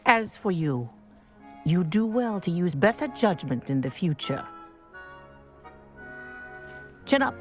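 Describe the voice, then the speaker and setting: An actress playing a strict flying professor, clipped and authoritative but quietly approving. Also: female voice, on the low side. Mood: firm, softening. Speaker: teacher. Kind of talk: dramatic game voice acting.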